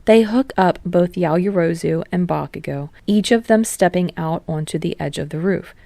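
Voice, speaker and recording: A woman narrates fiction in an expressive audiobook style. Her voice is on the low side with noticeable fry. The recording is dry, with no room sound. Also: normal speed, no room sound.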